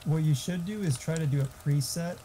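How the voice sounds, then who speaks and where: A man's voice: on the high side, brisk, dark tone, slight background noise. A man chatting casually during a game stream, explaining things in a rambling way and swearing freely. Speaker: gamer friend giving tech advice.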